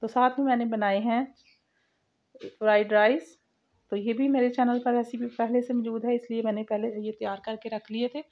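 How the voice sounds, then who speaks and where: A woman's voice: fairly high pitch, steady tone, moderate pace. A woman giving a step-by-step cooking voice-over as the host of a recipe channel, on a lo-fi home recording.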